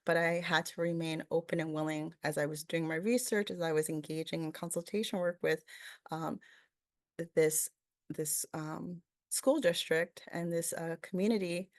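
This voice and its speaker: A woman's voice: deep voice, fairly even intonation, moderate pace, no room sound, studio quality. A woman from Peru giving a webinar presentation, thoughtful and full of fillers, often asking "right?".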